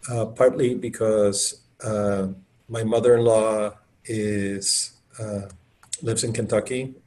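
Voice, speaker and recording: A man's voice: fairly low pitch, fairly even intonation, slow, darkish tone. A middle-aged man from Colombia, an author speaking as a guest over a video call, enthusiastic and rambling with many fillers. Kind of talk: casual conversational talk.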